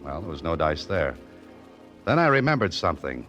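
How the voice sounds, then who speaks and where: Deep-voiced, wry hard-boiled hero. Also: male voice, big pitch swings, normal speed, a bit bright. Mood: tense, wry narration. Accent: American. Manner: dry, wisecracking, clipped tough-guy delivery. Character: wry, hard-boiled adventurer hero narrating his own mystery. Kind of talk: 1940s radio mystery acting and first-person narration. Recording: muffled old radio recording with music.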